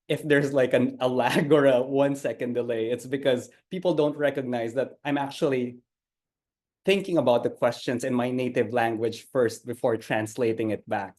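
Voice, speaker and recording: A man from the Philippines, answering as a panelist in a composed, deliberate way. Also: mid-range voice, a little mellow. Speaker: panelist, nurse executive.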